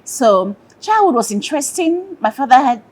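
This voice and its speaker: Expressive Cameroonian woman with a high voice. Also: moderate pace, slightly rough. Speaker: interview guest, caterer and entrepreneur. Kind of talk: conversational personal storytelling.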